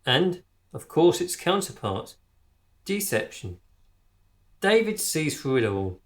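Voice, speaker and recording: A man's voice: fairly high pitch, sing-song, slow pace. Man acting a fervent, swooping conspiracy theorist. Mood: portentous, fervent. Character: earnest, obsessive conspiracy theorist man, portentous. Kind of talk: radio drama acting.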